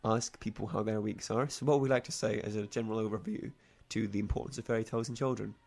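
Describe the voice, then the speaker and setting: A man's voice: fairly low pitch, fairly even intonation, fast talker, creaky, a little mellow. Playful man hosting a casual panel chat. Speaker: radio talk show host.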